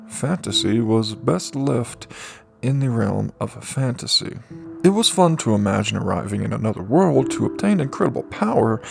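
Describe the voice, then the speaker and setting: A man's voice: medium pitch, gravelly. A man reading out scripted narration in the manner of an amateur audiobook. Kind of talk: scripted light novel narration.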